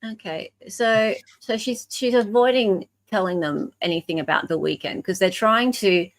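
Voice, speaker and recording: A woman with an Australian accent co-hosting a casual discussion of legal emails, explaining things in a halting, self-correcting way. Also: medium pitch, rough recording. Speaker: podcast co-host.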